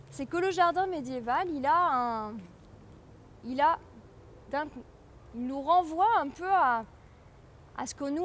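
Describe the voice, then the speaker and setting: A woman giving an informal public lecture, explaining things with hesitant pauses and repeated words. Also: high voice, slight background noise. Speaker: guest lecturer, medieval historian.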